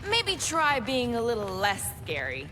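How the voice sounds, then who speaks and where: An actress playing a tough, level-headed alien warrior in a video game, dry and practical in manner. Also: female voice, fairly high pitch, huge pitch swings, a little slow, in a large hall, noisy background. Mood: curious, dry. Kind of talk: video game cutscene voice acting.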